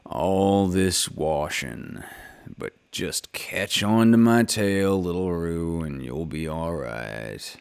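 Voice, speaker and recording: A man voicing a gloomy old male donkey who grumbles slowly and mournfully. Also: sits low, darkish tone, clean tone, pitched below the performer's own voice. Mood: grumbling.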